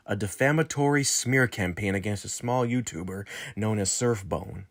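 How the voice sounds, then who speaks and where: Male narrator, low robotic synthetic voice, formal measured scripted reading, very dry sound. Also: no echo. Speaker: video narrator. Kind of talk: scripted narration, accusatory exposé.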